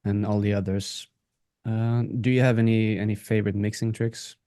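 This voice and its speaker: A man's voice: fairly low pitch, flat delivery, moderate pace, mellow, clean tone, dry recording, clean studio sound. A man hosting a podcast, chatting casually and putting questions to his guest. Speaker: podcast host.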